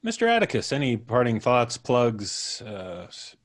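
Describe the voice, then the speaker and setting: A man's voice: medium pitch, wildly swooping pitch, unhurried, dry recording, clean studio sound. A man hosting a casual livestream, chatting with the others and signing off. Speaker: host. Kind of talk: casual livestream sign-off chat.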